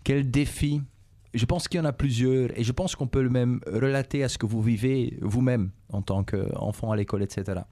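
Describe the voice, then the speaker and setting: Man, Belgian, Flemish accent, Dutch native speaker, middle-aged, measured, mellow and warm voice. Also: mid-range voice. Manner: measured, repeats himself, frequent "euh" and "et cetera". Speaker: business executive interviewed by schoolchildren. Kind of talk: calm explanatory interview answers.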